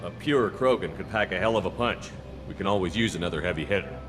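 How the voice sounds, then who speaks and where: Guy acting firm soldier commander, lowish voice, talks quick, direct, game dialogue with music. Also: close to an everyday voice. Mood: firm, composed. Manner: plain-spoken, direct, assured. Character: steady, firm male human military commander. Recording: music behind.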